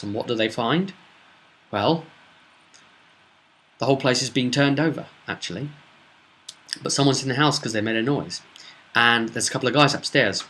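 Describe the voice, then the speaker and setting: A man's voice: medium pitch, pushed and bright. A man chattily recapping a tabletop role-playing session as game master, telling it in a rambling, casual way.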